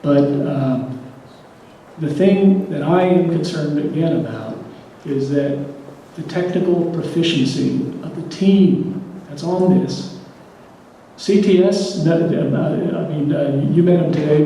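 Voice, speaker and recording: A man giving a halting public comment at a community meeting, stumbling through fillers and restarts. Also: fairly high pitch, warm tone. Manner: hesitant, many fillers and restarts. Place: large hall at a public meeting. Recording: echoey hall from far off, faint noise.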